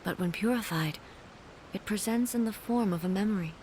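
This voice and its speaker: A woman voicing a serene, regal female ruler in a video game, speaking in a calm, measured, commanding way. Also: mid-range voice, slight background noise, close to an everyday voice. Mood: explaining.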